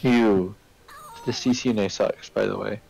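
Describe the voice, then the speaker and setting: A man chatting casually as he streams a game, halting and often repeating his words. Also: fairly low pitch, steady tone, gravelly, a little mellow, poor audio. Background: video game music.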